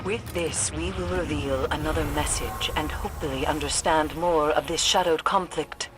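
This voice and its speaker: A woman playing a stern, cryptic mystic in a video game, with grave, formal, deliberate phrasing. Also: low-pitched, over music, natural voice. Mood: solemn, guarded.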